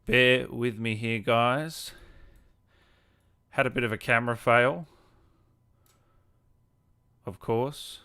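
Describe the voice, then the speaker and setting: A man's voice: medium pitch, fairly even intonation, slow, long pauses, bright, forceful tone, clean tone, dry recording, clean studio sound. A man hosting a live stream, chatting casually and addressing people in a chummy, informal way. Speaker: streamer host.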